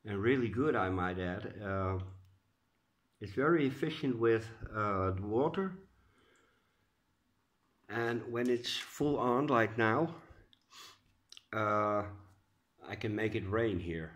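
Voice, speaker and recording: A man's voice: fairly low pitch, animated, takes it slowly, long pauses, fairly bright tone. A man with a Dutch accent giving a casual home update, a bit stuffy and congested, talking hesitantly with lots of uh's. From Netherlands. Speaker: orchid grower vlogger. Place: indoors at home.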